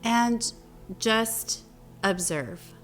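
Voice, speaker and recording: A woman leading a guided meditation as a teacher, speaking gently in short phrases with long pauses between them. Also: on the high side, lively intonation. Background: meditation music.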